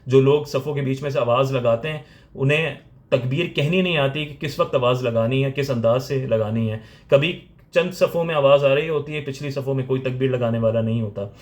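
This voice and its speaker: A man's voice: medium pitch, a little fast. Pakistani man giving a religious lecture. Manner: didactic, explains step by step. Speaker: Islamic religious teacher.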